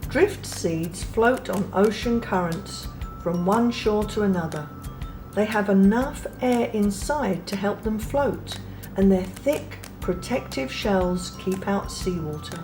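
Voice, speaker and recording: A woman reads a children's picture book aloud, taking her time and letting soft pauses settle between phrases. Her voice sits at an ordinary middle pitch, and clear music plays under the whole reading. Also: animated. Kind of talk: read-aloud storybook narration. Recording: read over clear background music.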